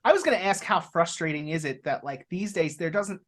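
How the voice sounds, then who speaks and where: A woman co-hosting a podcast speaks in a slightly low voice. She stumbles and restarts as she asks her questions. Her talk is loose, casual and easygoing. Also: animated. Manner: rambling, halting, laughs often. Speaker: podcast co-host.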